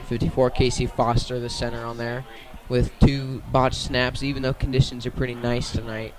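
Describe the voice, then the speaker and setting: A man's voice: medium pitch, flat delivery, moderate pace, rough recording. A man doing live radio play-by-play of a football game outdoors, in short clipped phrases. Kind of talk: live radio sports commentary.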